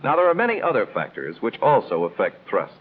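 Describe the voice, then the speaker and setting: An American man reading scripted instructional narration in the style of a 1950s military training film, explaining in a measured, didactic way with clear emphasis. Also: on the low side, wildly swooping pitch. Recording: tinny band-limited old film soundtrack, noisy.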